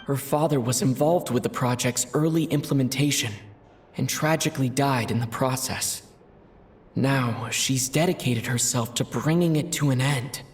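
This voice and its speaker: A man voicing a calm, thoughtful young male traveler in a game, musing to himself in a reflective inner monologue. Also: medium pitch, normal speed, soft background music, lightly acted. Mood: pensive. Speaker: player character. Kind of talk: game voice acting.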